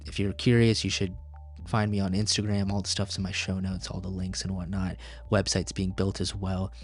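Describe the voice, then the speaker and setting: A man's voice: low voice, level tone, moderate pace, faint music behind. A young man whose first language is Tamil, hosting a solo podcast in a casual, rambling way with plenty of fillers and restarts. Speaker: podcast host.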